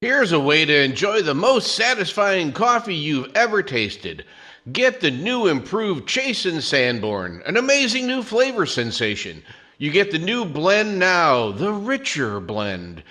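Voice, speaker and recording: A man's voice: huge pitch swings, a little slow, bright, forceful tone. A man reading a polished 1940s-style radio commercial as an announcer. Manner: polished sales pitch.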